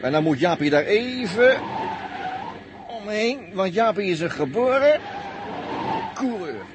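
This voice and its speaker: A boastful daredevil at the wheel in an old radio play. His fairly high voice bounces around as he brags and jokes in slang. The sixties recording is clearly noisy. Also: big pitch swings, unhurried. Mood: cocky. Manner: bragging, slangy, jokey third-person talk. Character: cocky, breezy, boastful young daredevil driver. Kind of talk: 1960s Dutch radio drama acting.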